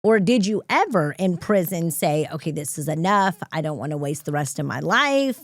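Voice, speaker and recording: A woman's voice: low voice, lively intonation, moderate pace, dry recording. A woman hosting an interview podcast, affirming her guest and sharing her own experience as she asks questions. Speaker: podcast host. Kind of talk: conversational interview.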